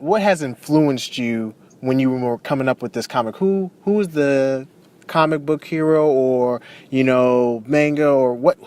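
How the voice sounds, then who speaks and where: A man's voice: mid-range voice, a little mellow. A man hosting an interview show, upbeat and chatty with many fillers. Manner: upbeat, filler-heavy, lots of "man" and "you know". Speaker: host. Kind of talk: casual interview.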